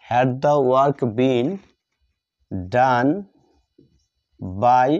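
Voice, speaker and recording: A man gives a step-by-step grammar lesson at a slow pace. His voice is mellow and mid-pitched, and he repeats phrases deliberately. The recording is dull and lo-fi. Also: little pitch movement, clear, resonant tone. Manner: deliberate, repeats phrases, asks and answers own questions. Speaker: English grammar teacher. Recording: dull lo-fi sound.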